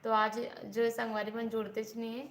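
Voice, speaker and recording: A woman's voice: fairly high pitch, monotone, brisk. A young woman with a Chhattisgarhi accent, chatting casually with viewers on a live stream and asking them to subscribe. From Raipur district, Chhattisgarh. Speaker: vlogger hosting a live stream. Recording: echoey room, faint hiss.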